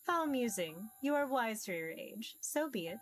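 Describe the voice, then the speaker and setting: A woman voicing a mysterious ancient being in a visual novel, formal, measured, amused and curious about humans. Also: fairly high pitch, very animated. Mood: amused, probing. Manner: formal, measured, archaic phrasing. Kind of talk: visual novel character read-aloud.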